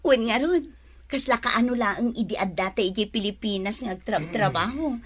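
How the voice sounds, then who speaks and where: Actress as friendly, dutiful young migrant worker, Ilocano accent, higher-pitched, radio serial. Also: female voice, normal speed, lightly acted. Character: dutiful, friendly young woman working abroad. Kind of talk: Philippine radio drama acting.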